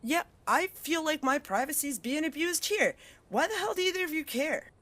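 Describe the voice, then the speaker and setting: A woman's voice: high-pitched, lively intonation, moderate pace, no room sound. A woman playing a brash, tough wasteland wanderer who talks bluntly and curses. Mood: defensive. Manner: blunt, cursing, sharp-tongued.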